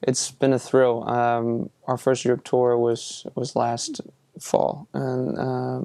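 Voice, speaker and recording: A young man from Iceland talks through interview answers in a relaxed, hesitant way, with plenty of fillers and restarts. His voice is gravelly and dark, and his pitch stays flat and even. The sound is dry and close. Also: slow, no room sound. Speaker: musician being interviewed.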